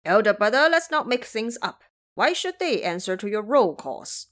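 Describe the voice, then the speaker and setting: Foolish pig sidekick, male woman performer, blunt and full of grumbles, animated intonation, audio drama. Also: mid-range voice, moderate pace. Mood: earnest. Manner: blunt, complaining, blustering.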